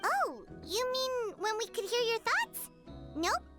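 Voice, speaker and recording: Little game fairy sidekick, extremely high, very animated, childlike, chatty. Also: female voice, slow, over loud music, full character voice. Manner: childlike, refers to self in third person. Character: tiny childlike floating fairy companion, chatty and frank. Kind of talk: English video game dub acting.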